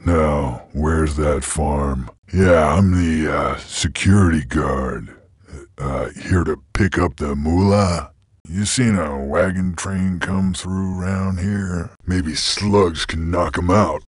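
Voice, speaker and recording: Very deep, husky gunslinger drifter. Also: male voice, takes it slowly, dry recording, studio quality, exaggerated voice. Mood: gruff, matter-of-fact. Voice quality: gravelly, husky. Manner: terse, folksy drawling cowboy talk. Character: gruff, laconic male creature bounty hunter, drifter gunslinger. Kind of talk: video game character voice lines.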